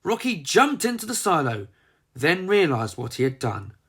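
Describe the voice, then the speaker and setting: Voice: medium pitch, sing-song, normal speed, slightly rough. A storyteller narrating a children's book in a theatrical, emphatic manner. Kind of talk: dramatised storybook narration with character voices.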